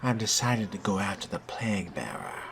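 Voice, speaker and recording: A man role-playing a sardonic, skeptical fledgling vampire in a video game, with a dry, deliberate delivery. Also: deep voice, big pitch swings, gravelly, noisy background. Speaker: player voicing his game character. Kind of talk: role-play dubbing of game dialogue. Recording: background music under the voice.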